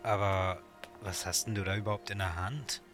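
A man's voice: deep voice, fairly even intonation, normal speed, a bit bright, soft background music. A man playing a casual member of a friend group in a Halloween audio play, speaking conversationally. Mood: dry.

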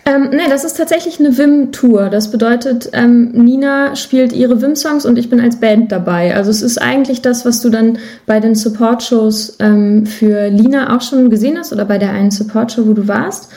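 A woman's voice: fairly high pitch, normal speed, hardly any pauses, dark tone. A woman speaking as a guest musician in a casual podcast interview, thoughtfully searching for her words.

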